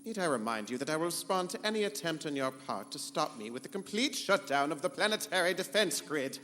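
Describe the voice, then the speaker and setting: A man's voice: fairly high pitch, sing-song, fairly quick, bright, forceful tone. A man playing a pompous, condescending rogue ship computer with grand formal speech in a live pulp sci-fi radio comedy. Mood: smug, threatening. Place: live convention stage. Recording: echoey hall, noise and hum.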